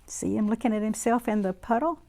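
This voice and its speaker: A woman reading a picture book aloud to young children in an expressive, deliberate, sing-song way. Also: a little high, creaky. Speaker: children's story reader.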